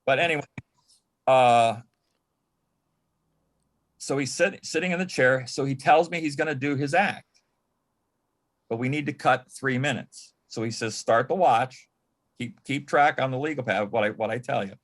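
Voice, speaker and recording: A man speaks as a panel guest, sharing stories from his time as an assistant. His delivery is halting and conversational, with phrases doubled and sentences begun again. His pitch sits in the middle range for a man. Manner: halting, repeats words and restarts sentences often. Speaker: panel guest, former personal assistant to an entertainer. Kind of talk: anecdotal storytelling in a panel interview.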